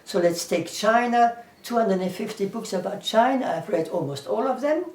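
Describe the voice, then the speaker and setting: A man's voice: high-pitched, lively intonation, moderate pace. German-accented teacher guy, earnest, says things twice, sounds far off in an echoey room. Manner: earnest, repeats himself, lists things, quotes scripture. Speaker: English teacher. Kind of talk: casual talk to camera.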